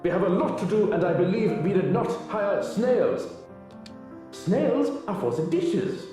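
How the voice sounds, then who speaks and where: A bossy, impatient head cook from a video game, barking at staff. The voice is high and swoops dramatically, heard from a distance in heavy echo. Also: male voice, very animated, a little slow, mellow, soft background music. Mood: impatient, scolding. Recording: roomy echo, distant from mic.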